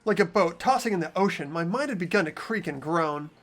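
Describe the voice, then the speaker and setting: A woman's voice: lowish voice, animated, brisk, faint music behind. A woman voicing a puzzled teenage student who narrates inner thoughts in a fan-voiced video game. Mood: uneasy, introspective.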